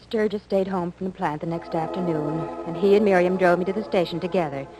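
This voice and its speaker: A woman's voice: on the low side, a little fast, over loud music, close to an everyday voice, poor audio. An American woman acting a sentimental, emotional young heroine in a 1950s radio soap. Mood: wistful narrating.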